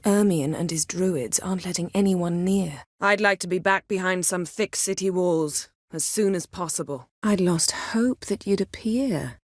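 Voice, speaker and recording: Haughty sorceress lady from a fantasy game, kinda low voice, cool n clipped, sardonic, very formal. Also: dry recording, clean studio sound. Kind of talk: video game voice acting, scripted dialogue.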